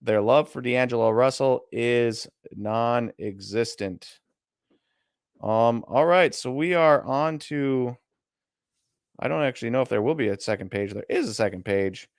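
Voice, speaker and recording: Smooth-voiced Midwestern man, dry sound. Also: mid-range voice, measured pace, no echo. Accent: Midwestern American. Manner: relaxed, thinking aloud while browsing. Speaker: co-host of a card auction stream. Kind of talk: casual live-stream commentary.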